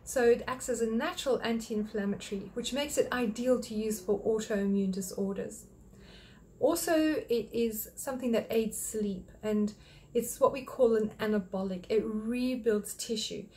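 An older woman from Australia who runs a thyroid clinic, talking straight to a webcam in a small home office and calmly listing health points one by one. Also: a little high, normal speed, slight background noise. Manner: friendly, explanatory, lists points one by one. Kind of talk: direct-to-camera health talk.

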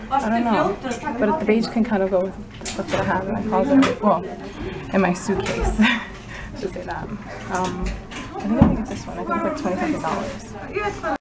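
A young woman vlogging casually while shopping, thinking aloud and hedging as she goes, filmed on a handheld camera. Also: mid-range voice. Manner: chatty, thinking aloud, hedging with "I don't know". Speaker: travel vlogger. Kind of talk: casual vlog narration. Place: shops and mall, indoors. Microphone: handheld camera at arm's length.

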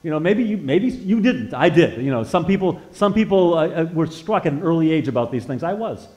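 Halting, conversational American preacher in his sixties. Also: a little high, expressive, a little mellow. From northeastern United States. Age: 60s. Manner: halting, repeats words as he searches for them; conversational, puts questions to listeners. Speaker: guest preacher. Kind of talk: informal sermon with personal testimony. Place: church sanctuary, indoors, at a lectern.

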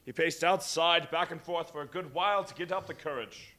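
A man narrating a stage reading of a classic story in a measured storytelling manner. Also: fairly high pitch, heavy background noise.

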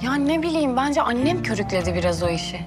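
An actress playing a dry, teasing older sister in a TV drama, speaking conversationally. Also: female voice, a little high, sing-song, quick pace. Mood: teasing, skeptical. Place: restaurant interior. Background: music.

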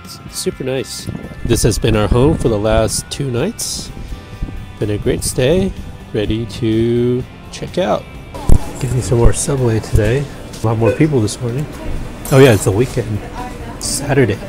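A man's voice: medium pitch, unhurried, over music. A man in his thirties, a travel vlogger chatting casually and thinking aloud as he walks outdoors, recorded on a wired earphone mic. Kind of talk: casual vlog commentary. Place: outdoors at a geothermal park.

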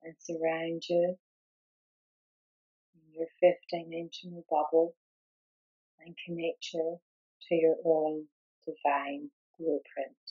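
A woman leading a guided meditation, giving gentle, soothing instructions in short, unhurried phrases with long pauses. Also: deep voice, flat delivery, slightly rough, dull audio.

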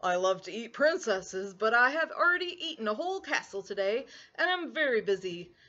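A woman doing a brisk, boastful storybook dragon. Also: a little high, very animated, bright, forceful tone, muffled recording. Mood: dismissive. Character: boastful busy male dragon.

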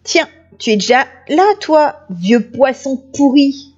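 A woman's voice: fairly high pitch, very animated, measured pace, a little mellow, highs cut off. A woman voicing a mean, scornful little girl in a children's story, with a grumbling, insolent manner.

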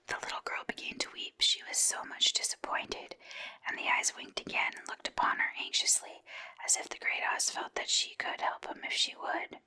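Lady whispering a bedtime story, all breath no voice, crisp and sharp. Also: moderate pace, poor audio. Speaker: audiobook reader. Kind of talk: whispered storybook reading, ASMR-like.

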